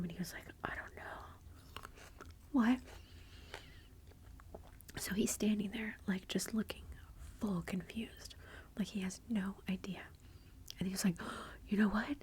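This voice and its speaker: A woman's voice: medium pitch, a little hiss or hum. A woman, whispering a rambling, amused story in ASMR style. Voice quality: soft whisper. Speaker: ASMR vlogger.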